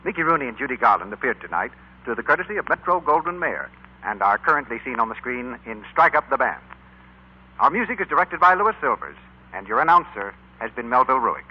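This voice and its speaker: A man's voice: mid-range voice, sing-song, fast talker, slightly rough, muffled recording. An American man working as a radio announcer, reading in a 1940s broadcast style.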